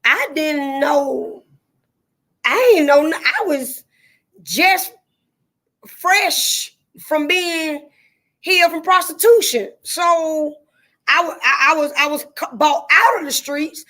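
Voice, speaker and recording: A woman's voice: high-pitched, slow, bright, forceful tone. A woman speaking candidly and emphatically, with a preachy, repetitive cadence. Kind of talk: candid testimony-style chat.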